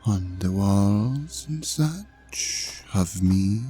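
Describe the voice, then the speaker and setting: A man playing an ancient, vain dragon god in an audio drama, pompous and mock-courteous, with a theatrical drawl that sinks into breathy murmurs. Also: fairly low pitch, animated, drawn-out words, warm tone, soft background music. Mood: smug, amused. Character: ancient vain male dragon god, suave, hypnotic, menacing host. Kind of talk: scripted audio drama monologue addressed to the listener.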